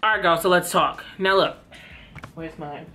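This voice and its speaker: A woman hosting a casual commentary vlog, chatty and talking straight to her viewers, her voice slightly hoarse from being under the weather. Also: lowish voice, moderate pace, loud music behind the voice. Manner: chatty, addresses viewers as girl. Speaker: YouTube commentary host.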